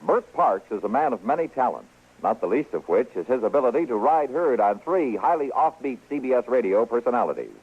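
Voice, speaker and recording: A male announcer reads in a dramatic old-time radio style. His voice is husky and very animated in pitch. The broadcast recording is thin and tinny. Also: fairly quick. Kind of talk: dramatic mid-century scripted radio announcing. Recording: tinny, band-limited vintage radio broadcast.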